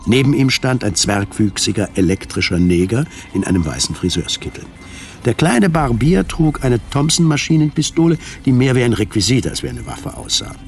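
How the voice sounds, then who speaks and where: A man narrating a scripted radio drama in a measured, precise storytelling manner. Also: mid-range voice, sing-song. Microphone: studio microphone. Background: music.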